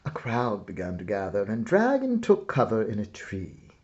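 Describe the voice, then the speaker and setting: A man reading a children's picture book aloud in a theatrical, expressive way with dramatic pauses. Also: fairly low pitch, highs cut off. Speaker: storybook reader.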